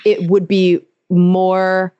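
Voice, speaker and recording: Woman podcast host, chatty, animated, mellow voice, dry muffled recording. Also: on the low side, very slow, clear, resonant tone, no echo. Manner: animated, laughs often, chatty with fillers like "like" and "um". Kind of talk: casual podcast interview.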